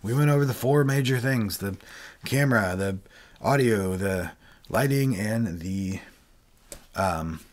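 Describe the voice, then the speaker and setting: Sick man with a low voice explaining. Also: unhurried, long pauses. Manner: relaxed, chatty, explains step by step. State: sick, voice lower than usual. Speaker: video creator and worship leader hosting a livestream. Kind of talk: casual how-to livestream talk. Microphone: desk microphone, webcam video.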